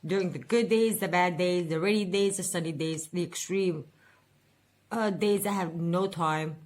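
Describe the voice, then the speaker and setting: A woman's voice: fairly low pitch, normal speed, darkish tone. A woman in her forties venting and rambling in a casual personal budget vlog, repeating words for emphasis. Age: 40s. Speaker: budget vlogger.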